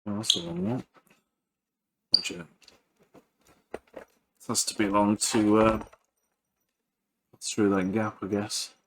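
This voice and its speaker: A man giving casual hands-on repair commentary as a video host, halting and thinking aloud while he works. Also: lowish voice, fairly even intonation, vocal fry, background noise.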